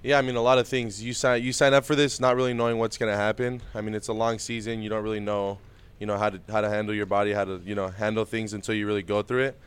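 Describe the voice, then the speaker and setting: Fast-talking flat young man. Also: fairly low pitch, clear, resonant tone. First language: Spanish. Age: young adult. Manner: relaxed, easygoing answers with many fillers. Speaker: interview guest, baseball prospect. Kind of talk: casual interview answers.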